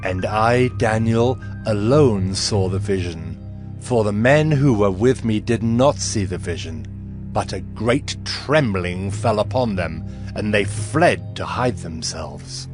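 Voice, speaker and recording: Slow, reverent prophet over music. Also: male voice, lowish voice, lively intonation. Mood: grave, awestruck. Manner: grave, reverent, deliberate. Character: Daniel, male Hebrew prophet, humble and awestruck, recounting visions. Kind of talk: dramatized scripture storytelling. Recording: clear music bed behind voice.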